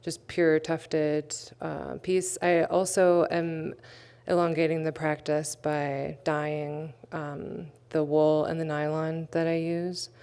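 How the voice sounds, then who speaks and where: A woman with a low, gravelly voice that still sounds smooth and clear. She talks slowly and hesitantly about her work, pausing often with fillers. Her tone stays casual throughout. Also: flat delivery, a little mellow. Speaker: artist presenting her work. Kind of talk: livestream artist talk.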